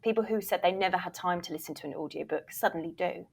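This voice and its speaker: A woman's voice: on the low side, quick pace, gravelly, breathy edge. A woman giving candid, emphatic answers as a guest in a conversational interview. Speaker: podcast guest, voiceover reel producer and former agent.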